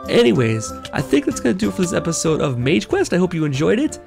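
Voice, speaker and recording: A man's voice: sits high, big pitch swings, fast, creaky, music in the background. A man hosting a gaming video, talking casually through his play while getting over a cold, thinking aloud with lots of fillers. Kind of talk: casual let's play commentary.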